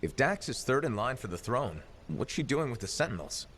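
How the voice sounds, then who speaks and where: An actor playing a confident, wisecracking freelancer hero in a video game, wry and assured. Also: male voice, medium pitch, big pitch swings, a little fast, a bit bright, soft background music. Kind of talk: video game protagonist dialogue.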